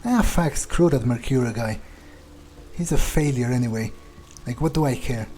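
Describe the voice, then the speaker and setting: A man's voice: mid-range voice, moderate pace, mellow, soft background music. A man playing a game in character as a vampire, thinking aloud in a hesitant, self-interested, sweary way. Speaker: video game player narrating in character as a vampire.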